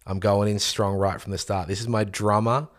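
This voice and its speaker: A man's voice: fairly low pitch, flat delivery, moderate pace. A man co-hosting a casual podcast, telling jokey stories. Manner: joking storyteller. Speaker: podcast co-host. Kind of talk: casual chat.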